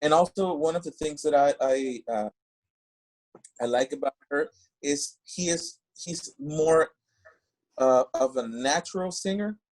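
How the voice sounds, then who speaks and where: Halting male singer. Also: fairly high pitch, darkish tone, poor audio. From Mexico. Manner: halting, searching for English words. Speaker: band singer, podcast guest. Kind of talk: casual interview chat.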